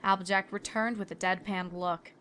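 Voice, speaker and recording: A woman's voice: medium pitch, fairly even intonation, moderate pace, fairly bright tone, clear, resonant tone. A woman reading scripted third-person narration for a fan fiction story. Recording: background music under the voice.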